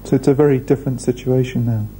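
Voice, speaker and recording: A man, speaking thoughtfully in a documentary interview with measured pauses. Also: medium pitch, steady tone, mellow, poor audio. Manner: measured, thoughtful, hesitant fillers. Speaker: restaurant founder. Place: indoor room.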